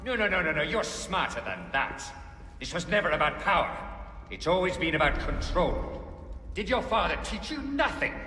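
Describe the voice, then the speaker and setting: An actor playing a taunting, power-hungry Templar mastermind in a video game, declaiming with theatrical contempt. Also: male voice, on the high side, very animated, normal speed, pushed and bright. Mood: scornful. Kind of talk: dramatic video game villain monologue. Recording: echoey hall reverb with faint noise.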